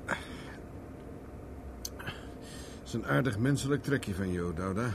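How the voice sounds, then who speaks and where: An actor playing a captive private investigator in a 1970s radio drama, wry and cheeky, answering with dry nonchalance. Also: male voice, on the low side, animated, fairly quick, gravelly. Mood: drowsy, sardonic. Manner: dryly sardonic, nonchalant. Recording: faint noise on old radio recording.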